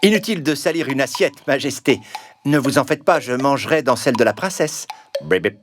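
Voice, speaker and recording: A man's voice: medium pitch, lively intonation, fairly quick, close to an everyday voice. A man voicing a courteous, wheedling talking frog in a children's storybook. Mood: polite, cheeky. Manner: courteous, coaxing. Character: polite, wheedling male talking frog, a prince under a spell. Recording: music under the voice.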